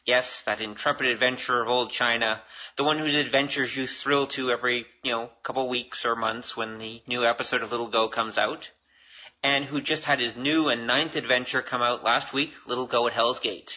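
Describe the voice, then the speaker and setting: A man's voice: mid-range voice, fairly even intonation, moderate pace, dull audio. A middle-aged Canadian man hosting a casual solo podcast, chatty and self-deprecating, given to rambling asides. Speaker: podcast host.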